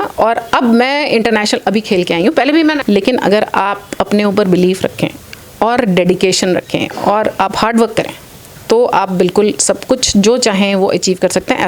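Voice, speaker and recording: A woman in her late forties answering interview questions earnestly, mixing English phrases into her speech. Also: mid-range voice. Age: late 40s. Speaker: interview guest, athlete and former air force officer.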